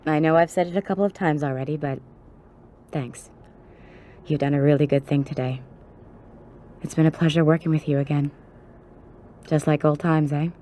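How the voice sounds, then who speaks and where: A woman acts an easygoing, flirty former partner in a cinematic game. The voice is very deep and mellow, familiar and teasing, over faint ambient noise. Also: steady tone, normal speed, pauses often, close to an everyday voice. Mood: teasing, grateful. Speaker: video game character.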